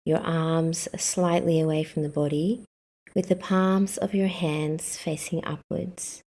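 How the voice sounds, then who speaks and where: A woman guiding a yoga nidra meditation as the instructor, speaking calmly in short, measured instructions. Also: low voice. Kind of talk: guided meditation.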